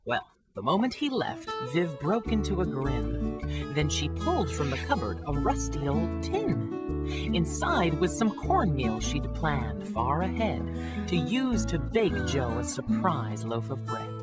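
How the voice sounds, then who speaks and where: A woman narrating a rhyming children's story with an expressive, scripted delivery. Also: low-pitched, takes it slowly, over loud music.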